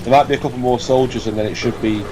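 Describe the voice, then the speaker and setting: A man's voice: mid-range voice, steady tone, rapid-fire, mellow, a little hiss or hum, low-quality recording. A man giving casual let's play commentary, explaining what to do and swearing now and then. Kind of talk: casual gameplay commentary and chat. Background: video game music.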